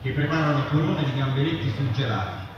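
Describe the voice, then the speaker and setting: A man reads poetry aloud from far off the microphone. The sound is dull and heavily echoing, and music plays clearly behind him. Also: mid-range voice, quick pace. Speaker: reader at a public literary reading. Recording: dull echoey hall recording, distant mic.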